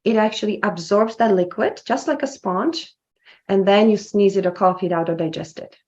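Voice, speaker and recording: A woman's voice: mid-range voice, moderate pace, a little mellow, dull audio. A woman from Slovakia, answering interview questions as a wellness clinic founder, explaining at length and often repeating and restarting her words.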